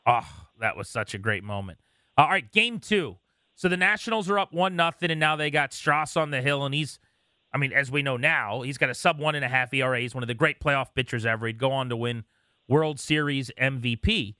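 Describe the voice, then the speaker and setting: A man hosting a sports radio interview, talking conversationally and recalling games in an animated way. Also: medium pitch, brisk, no echo, studio quality.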